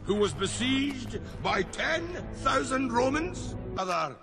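Blustery scottish cartoon lord, gravelly and slow, loud music underneath. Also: male voice, very high voice, very animated, full character voice. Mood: boastful. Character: blustering, boastful Highland clan lord. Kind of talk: animated film dialogue.

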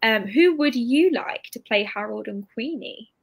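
A woman's voice: fairly high pitch, animated, measured pace, creaky, breathy edge. A British woman in her twenties hosting a casual live interview over a video call, chatty and informal. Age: 20s. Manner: chatty, informal, full of hedging asides. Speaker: interviewer, bookshop host. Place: indoor room, video call. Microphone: computer mic on video call.

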